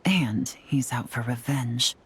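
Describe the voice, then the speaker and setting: An actress playing a cool, calculating woman strategist in a video game, composed and deliberate. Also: extremely low pitch, a little hiss or hum. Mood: pensive, wary.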